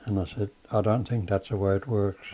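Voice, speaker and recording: An older man with a Danish accent, a native Danish speaker, recalling his life in a slow, halting way with many pauses and fillers. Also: fairly low pitch, fairly even intonation, dark tone. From Denmark. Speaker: father being interviewed. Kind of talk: casual reminiscing interview.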